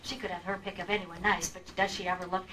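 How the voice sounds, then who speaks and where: A woman's voice: fairly low pitch, little pitch movement, quick pace, sharp tone. A woman playing a prim, gossipy older widow, chattering huffily in a live old-time radio drama with a distant, slightly noisy pickup. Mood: indignant, gossipy. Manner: chattering, prissy, huffy. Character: prim, gossipy, indignant widowed lady neighbour.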